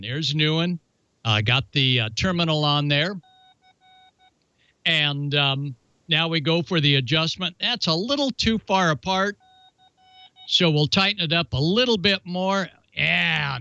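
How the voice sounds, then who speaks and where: Bright, forceful man, chatty show host. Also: a little high, no echo, highs cut off. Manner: folksy, chatty, playful asides. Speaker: ham radio show host. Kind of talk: casual hands-on how-to demonstration with announcements.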